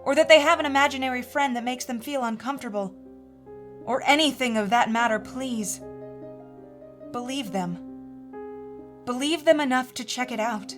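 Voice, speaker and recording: A woman playing a haunted mother in her forties, telling a first-person horror story in a measured, earnest, confiding way. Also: high voice, natural voice. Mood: earnest pleading. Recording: music bed under the voice.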